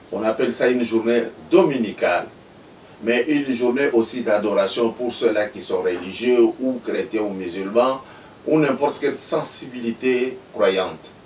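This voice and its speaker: A man's voice: mid-range voice, darkish tone. A man from Congo hosting a TV interview, formal and emphatic. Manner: formal, emphatic, speaks in sweeping phrases. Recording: echoey room, mic far off, faint noise.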